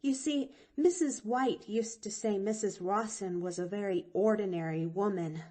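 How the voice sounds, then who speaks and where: A woman's voice: mid-range voice, normal speed, warm tone, natural voice. A woman reading an audiobook, voicing a cheerful, talkative little girl with an eager, folksy way of speaking. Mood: earnest. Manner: eager, chattering, folksy drawl.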